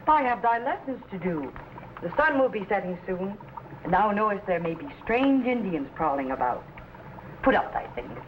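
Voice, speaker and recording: Lady playing strict pioneer mom, old-fashioned thee n thou, lively up n down, muffled old recording. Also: mid-range voice, normal speed, natural voice. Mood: scolding, cautioning. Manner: scolding, archaic thee-and-thou phrasing. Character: stern, protective Quaker-speaking frontier mother. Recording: muffled, faintly noisy vintage recording.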